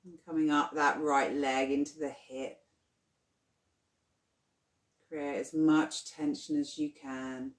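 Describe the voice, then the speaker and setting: A yoga nidra guide leading a guided relaxation in a soothing, unhurried, gently instructing manner. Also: mid-range voice, flat delivery. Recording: roomy, echoing sound.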